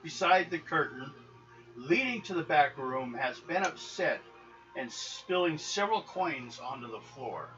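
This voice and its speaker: A man running a tabletop game as game master, narrating and chatting casually in a halting, rambling way. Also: fairly high pitch, expressive, sharp tone, recorded from a distance, faint music behind. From United States. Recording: dull lo-fi live recording with hiss.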